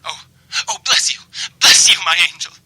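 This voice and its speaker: A man's voice: normal speed, music in the background, natural voice, low-quality recording. An actor playing an unstable, lovesick young man who stammers and frets in old-time radio mystery style. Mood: desperate pleading. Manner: stammering, pleading, overwrought.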